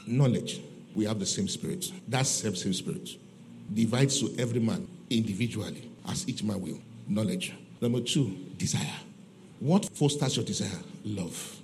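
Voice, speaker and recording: A man's voice: on the low side, moderate pace, darkish tone, slight background noise. A man with a Nigerian accent preaching a teaching sermon, emphatic, repeating phrases and answering his own rhetorical questions. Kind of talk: teaching sermon with scripture readings and questions to listeners.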